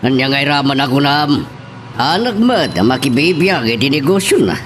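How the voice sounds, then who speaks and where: A man's voice: medium pitch, very animated, normal speed, fairly bright tone, slight background noise. An actor with an Ilocano accent playing a defensive father in a radio drama. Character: defensive father caught in shady business.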